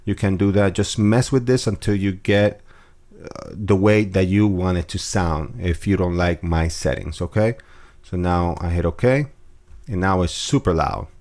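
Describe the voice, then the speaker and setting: A man calmly walking through audio editing steps in a screen-recorded tutorial, with casual fillers. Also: sits low, moderate pace. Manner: relaxed step-by-step explaining with fillers. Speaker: music production tutor.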